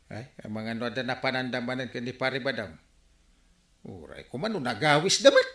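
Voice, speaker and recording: A man's voice: mid-range voice, very animated, fairly quick, fairly bright tone. A man playing an exasperated middle-aged village captain in an Ilocano radio comedy drama, with an Ilocano Filipino accent. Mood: exasperated banter. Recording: roomy, echoing studio sound.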